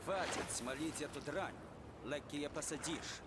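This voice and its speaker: An actor playing a grumbling guard on watch, chatting offhand in video game background dialogue. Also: male voice, on the high side, measured pace, lightly acted. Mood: grumbling, bored. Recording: distant voice under loud sea noise.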